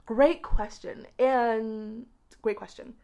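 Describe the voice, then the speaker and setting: A woman from the United States giving conversational interview answers as a guest, expressive and repeating words for emphasis. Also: a little high, takes it slowly, smooth. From grew up in the United States. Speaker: podcast guest, education researcher.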